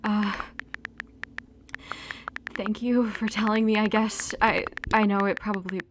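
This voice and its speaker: A woman's voice: medium pitch, flat delivery, unhurried, noisy background, close to an everyday voice. A young woman playing an anxious, wounded girlfriend in an audio drama. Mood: hurt, distressed. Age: young adult.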